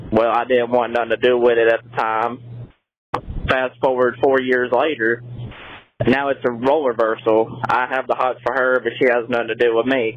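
A man from Mississippi telling his story over a call. His voice sits mid-pitch, and the audio is muffled and lo-fi. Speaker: caller. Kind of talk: phoned-in voicemail.

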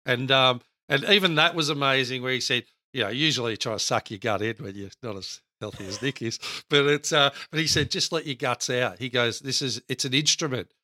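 Baritone host, bright, lively storyteller, dry close sound. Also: male voice, brisk, hardly any pauses, no echo. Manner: animated storyteller, acts out quotes. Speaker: podcast co-host. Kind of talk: casual podcast chat.

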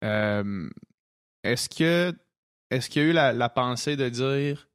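A man with a Québécois French accent hosts a relaxed interview, winding through long questions in loose slang. His voice is of ordinary pitch and sounds close and dry, with no room around it. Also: slow pace, smooth, dry recording. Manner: easygoing, slangy, asks long rambling questions. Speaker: podcast host. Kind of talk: casual interview chat.

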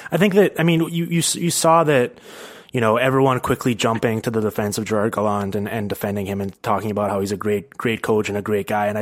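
A man hosting a casual sports podcast, explaining his points in a rambling, slightly hesitant way. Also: fairly low pitch. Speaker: podcast host and hockey writer.

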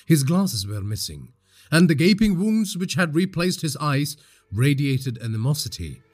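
A man from India narrating a scripted horror story in a husky voice, with dramatic, suspenseful delivery. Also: a little high, sing-song, a little slow, soft background music.

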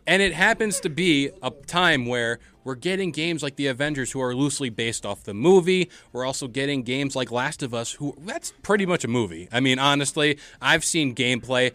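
A man's voice: mid-range voice, lively intonation, moderate pace, hardly any pauses, sharp tone, no room sound. A man hosting a casual gaming podcast, talking in a slangy, hyped-up way. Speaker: podcast host.

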